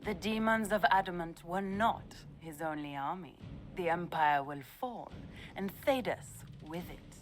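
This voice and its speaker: Smug scheming noble traitor, mocking and theatrical, game cutscene. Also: male voice, high voice, unhurried, a bit bright, slight background noise. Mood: gloating. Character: scheming treacherous noble sibling, villain. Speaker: video game character.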